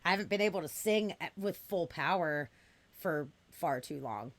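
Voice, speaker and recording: A raspy-voiced woman from the US West Coast in her thirties, a podcast guest chatting casually and bluntly, swearing freely as she tells stories. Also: deep voice, expressive, moderate pace. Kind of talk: casual chat.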